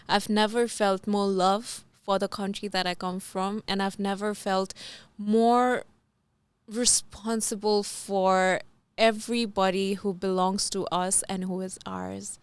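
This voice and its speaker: A young woman from Nepal, a pageant titleholder being interviewed, talking in an animated, earnest and proud way. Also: medium pitch, slow pace, clean tone, no echo. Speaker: podcast guest, pageant titleholder. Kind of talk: casual interview chat mixing languages.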